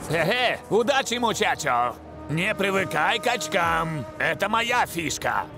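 A man's voice: sits high, big pitch swings, unhurried, creaky, over music, exaggerated voice. A man voicing a vain, showy young soccer star in a cartoon, with boastful, dramatic delivery. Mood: cocky. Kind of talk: animated cartoon acting.

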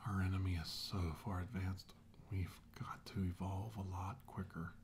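A middle-aged man playing a grim, remorseful military scientist in an ASMR drama, speaking in hushed, halting phrases that often drop to a whisper. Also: sits low, flat delivery. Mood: grim resolve. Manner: hushed, halting, slow delivery in short phrases. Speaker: ASMR role-play actor. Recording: hushed voice over clear background noise.

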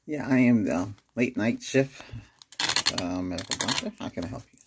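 A middle-aged man doing a casual ASMR doctor role-play, asking exam questions in a hesitant, unhurried way. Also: on the low side, expressive, noisy background. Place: small curtained room indoors.

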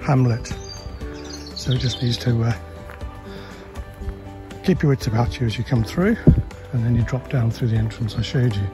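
A man with a relaxed, chatty manner, narrating a tour of a campsite as he walks, recorded outdoors on a handheld camera. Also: medium pitch, normal speed, long pauses, over music. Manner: relaxed, chatty, guiding with frequent fillers. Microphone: handheld camera, filming while walking.

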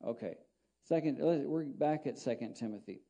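A middle-aged pastor preaches from a podium mic in a small church, his voice very dark and mellow. He drifts between scripture reading and loose, rambling asides, often stopping and restarting his sentences. His pitch sits in an ordinary male range. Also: moderate pace, gravelly. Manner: conversational, rambling, with frequent restarts. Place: small church sanctuary, indoors.

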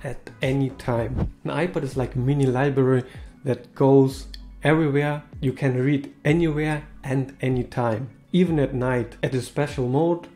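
A man in his thirties speaking English as a second language, with a mellow, warm tone and slightly non-native phrasing. He talks to camera from a small room, upbeat and casual. Faint music sits under his voice. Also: medium pitch, fairly even intonation, moderate pace. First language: not English. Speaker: tech video host.

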